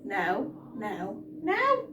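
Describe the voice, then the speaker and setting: A woman's voice: mid-range voice, huge pitch swings, extremely slow, full character voice. A woman voicing a pompous, tyrannical chimpanzee mayor from a storybook, barking imperious commands. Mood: outraged, bellowing. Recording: roomy, distant mic, noisy.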